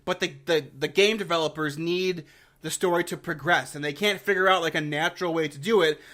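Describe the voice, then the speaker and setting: A man with a notably high voice hosts a casual review of game lore. He is blunt and exasperated, swears freely in loose slang, and slips into mocking asides as he argues his opinions. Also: steady tone, brisk, pushed and bright.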